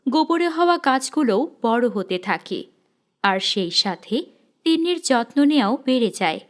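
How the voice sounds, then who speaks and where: A woman's voice: fairly high pitch, sing-song, normal speed. A woman reading scripted fairy tale narration as the storyteller.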